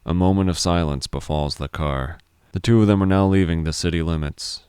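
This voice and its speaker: A man's voice: sits low, fairly quick, a little mellow, clean tone, no echo, studio quality. A man reading scripted fiction narration as a storyteller.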